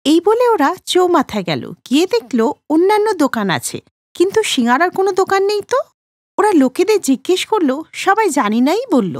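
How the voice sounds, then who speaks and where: A woman narrating a children's cartoon story in a steady storytelling manner. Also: very high voice, brisk, no echo, studio quality.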